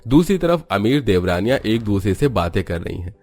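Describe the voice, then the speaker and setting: A man's voice: lowish voice, very animated, brisk, darkish tone. A man narrating a scripted cartoon family story as a storyteller.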